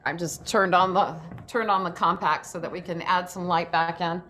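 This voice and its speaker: A woman's voice: on the low side, fairly even intonation, moderate pace, fairly bright tone, faint music behind. A woman hosting an informal online workshop, speaking enthusiastically as she instructs over a video call. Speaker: host and acting self-tape instructor. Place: indoor room on a video call. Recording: background noise on a video call.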